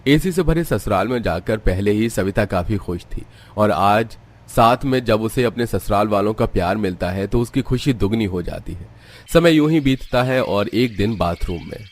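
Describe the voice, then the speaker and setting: Low-voiced man narrating a story. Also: lively intonation, normal speed.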